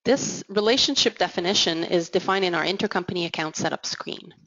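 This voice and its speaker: A woman giving a measured, explanatory software product demo as voice-over, slowing down on key terms. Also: fairly low pitch, no echo, dull audio. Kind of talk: scripted product demo narration.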